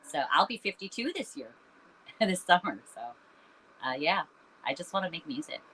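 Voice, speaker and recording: A woman's voice: fairly low pitch, big pitch swings, moderate pace, sharp tone, breathy edge, poor audio. A woman in her fifties, a singer who founded her band, answering interview questions in an earnest, reflective way with many "you know"s and "um"s. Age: 50s. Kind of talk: candid conversational interview answers.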